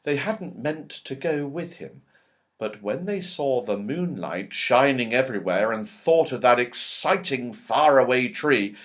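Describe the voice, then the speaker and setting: A man narrating a children's storybook as a reader, with expressive delivery, dramatic pauses and strong emphasis. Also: mid-range voice. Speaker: audiobook reader.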